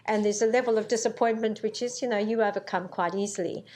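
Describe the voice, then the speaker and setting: Middle-aged woman from zambia giving a formal welcome at a conference, slow and earnest, lots of ums. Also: medium pitch, fairly even intonation, darkish tone. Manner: measured, earnest, with frequent ums. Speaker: university vice-chancellor. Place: conference hall.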